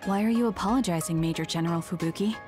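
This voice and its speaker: An actress playing an aloof, blunt young soldier in an anime game, cool and deadpan. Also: female voice, on the low side, rapid-fire, music in the background, close to an everyday voice. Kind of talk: anime video game dub acting.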